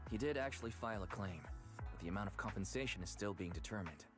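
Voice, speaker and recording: Game role: man playing a steady, matter-of-fact coordinator, lowish voice, music clearly behind. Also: quick pace, close to an everyday voice. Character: calm, methodical young man coordinating a team. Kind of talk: video game voice acting.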